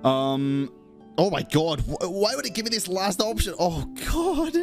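A man in his thirties giving casual, expressive live reactions as he streams a game. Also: sits high, unhurried. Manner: expressive, reads dialogue choices aloud. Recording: game music behind.

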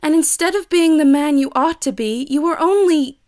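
A woman's voice: high-pitched, moderate pace, a little mellow, natural voice. An actress playing a proud, outspoken, ambitious young lady in an audio drama. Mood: indignant. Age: young adult.

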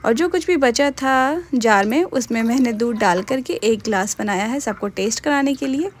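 A young woman talks through her home cooking in a relaxed, chatty voice-over. Her voice sits a little high, and she slips English words in as she goes. A faint background hiss sits under her voice. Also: normal speed. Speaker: cooking vlogger. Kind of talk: casual voice-over narration of cooking.

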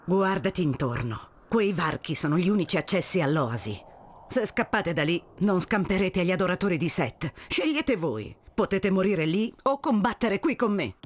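A woman's voice: fairly low pitch, big pitch swings, moderate pace. Proud commanding warrior woman. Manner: commanding, solemn. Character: proud, resolute Egyptian warrior woman leader.

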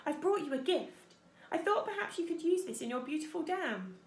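Woman voicing a high-pitched, polite female robot. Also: sing-song, moderate pace, reverberant. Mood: polite, reassuring. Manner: formal, careful, literal wording.

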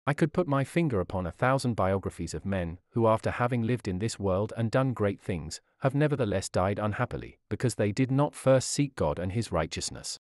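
Man reading a sermon with lively pitch. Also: a little fast, few pauses, dry recording, clean studio sound. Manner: solemn, old-fashioned preaching cadence. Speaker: reader of a historical sermon.

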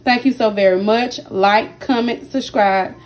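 A woman with a hoarse, partly lost voice, chatting casually to her viewers as she cooks in her home kitchen, recording on a handheld phone. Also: on the high side, fairly even intonation, measured pace. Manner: chatty, keeps addressing viewers directly. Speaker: cooking channel host. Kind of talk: casual cooking vlog narration. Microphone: phone held in hand while cooking.

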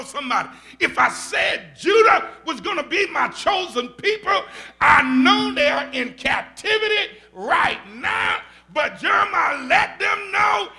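An older man with a Southern US accent, a pastor teaching Bible study in a church sanctuary, preaching into a stand mic with call-and-response and rising shouts. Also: very high-pitched, creaky. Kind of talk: animated preaching. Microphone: stand mic at the pulpit.

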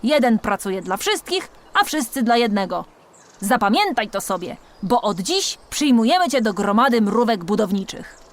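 A woman's voice: fairly high pitch, very animated, normal speed. A woman voicing an old female worker ant in a children's story, a stern but kindly mentor who lectures in a motherly way. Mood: solemn, firm.

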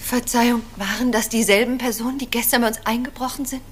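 Actress as high-voiced, polite housemaid. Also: female voice, steady tone, fast, a little hiss or hum, natural voice. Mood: concerned. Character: dutiful, polite young housemaid. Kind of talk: German radio crime drama acting.

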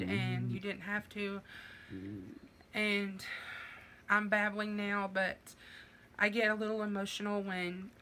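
A woman's voice: medium pitch, background noise. A middle-aged woman, chatting as she opens mail in her living room. Her voice is going from a sinus infection, and she gushes her thanks. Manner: gushing, warm thanks with fillers. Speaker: vlogger. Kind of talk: casual mail-opening vlog.

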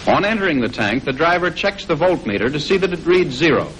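An American man reading scripted 1940s instructional film narration in a formal, measured way, on an old film soundtrack. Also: on the high side, very animated, a bit bright, slight background noise, low-quality recording.

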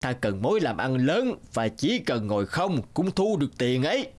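A man playing a greedy, pompous fat rich man in an animated folk tale, with an animated delivery. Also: mid-range voice, normal speed. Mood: haughty.

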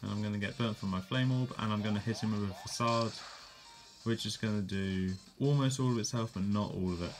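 Low-voiced man narrating a game battle. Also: a little fast, over music. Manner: relaxed, plain narration with fillers and self-corrections. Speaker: gameplay commentator. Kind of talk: casual play-by-play of a game battle.

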